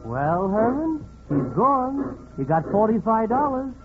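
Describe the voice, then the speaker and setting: An actor playing a sardonic, confiding narrator who needles the characters in a 1940s radio comedy. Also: male voice, high voice, sing-song, slow, over loud music, full character voice. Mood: needling, sly. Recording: tinny, phone-like 1940s radio recording.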